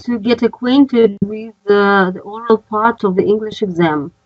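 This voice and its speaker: A woman's voice: mid-range voice, unhurried. A woman, an adult student carefully reading aloud in an online class. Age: 30s. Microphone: video call. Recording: dull dry call audio.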